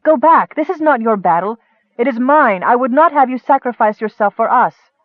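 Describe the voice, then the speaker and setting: A man's voice: sits high, moderate pace, dry recording, natural voice. A man voicing a noble, resolute warrior woman in a fantasy audiobook, earnest and solemn, on a muffled recording. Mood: pleading, urgent.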